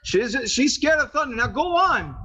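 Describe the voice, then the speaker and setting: A man's voice: very high-pitched, sing-song, moderate pace, pushed and bright, heavily acted. An actor playing an unsuspecting, devoted husband in a radio horror play, a man with a folksy, solicitous manner. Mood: fussing, tender. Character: unsuspecting husband, devoted and fussing over his mama. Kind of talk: old-time radio horror acting. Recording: noisy, dull lo-fi live audio.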